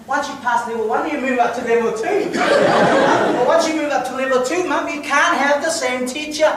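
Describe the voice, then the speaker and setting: A man telling a funny personal story in a humorous speech contest, pausing for laughs. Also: very high-pitched. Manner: telling a comic story to an audience with pauses for laughs. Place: large hall, public speaking contest. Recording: echoey hall from far off, noisy. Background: audience laughter.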